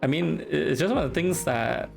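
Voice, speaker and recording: A man's voice: fairly high pitch, expressive, fairly quick, vocal fry, faint music behind. A man from Singapore with a Singaporean English accent, chatting casually on a live stream, teasing, reading chat aloud and laughing often.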